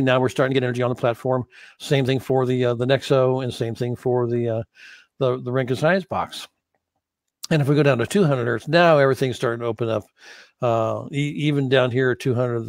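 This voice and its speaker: A man with a mid-range voice explains technical material like an instructor talking over a screen. His delivery is unscripted and halting, with frequent pauses as he works through each point. The sound is dry and close, with very little room in it. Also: mid-range voice, dry recording. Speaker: audio instructor.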